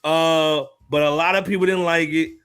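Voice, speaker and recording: Higher-voiced man hosting a loose podcast. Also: fairly even intonation, normal speed, a bit bright, clean tone. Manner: loose, tosses questions to co-hosts. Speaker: podcast host.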